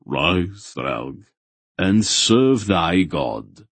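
Man voicing a grave, very deep, ancient god. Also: sing-song, extremely slow, creaky, no echo, exaggerated voice. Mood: calm command. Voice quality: soft, solemn voice. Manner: archaic, commanding speech. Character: stern ancient bearded male god, grave and majestic.

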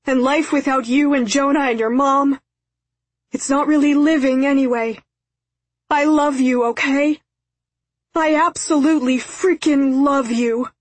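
A woman's voice: on the high side, fairly even intonation, moderate pace, pauses often, husky, dry recording. A woman voicing a terrified, tearful father who pleads breathlessly in an audiobook story. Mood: anguished screaming. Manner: pleading, breathless, repetitive outcries. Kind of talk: audiobook character acting.

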